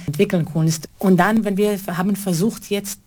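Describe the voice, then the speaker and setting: A middle-aged woman from Israel, a choreographer answering interview questions in halting, German. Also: mid-range voice, steady tone, darkish tone. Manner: halting, non-native grammar, earnest. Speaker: choreographer and theater co-founder.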